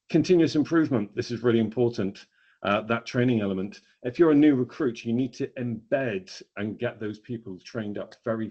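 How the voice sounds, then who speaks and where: A man's voice: fairly low pitch, darkish tone, breathy edge. A man presenting a business training webinar in a conversational, explanatory way, stressing key words as he goes. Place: online webinar. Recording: dull, lo-fi online webinar audio.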